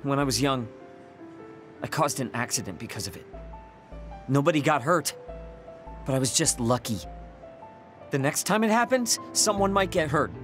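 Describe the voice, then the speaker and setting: An actor playing a proud, insecure young soldier in an anime video game, curt and defensive. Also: male voice, mid-range voice, expressive, normal speed, pauses often, natural voice. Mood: brooding, confessing. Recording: loud music under voice. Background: game soundtrack music.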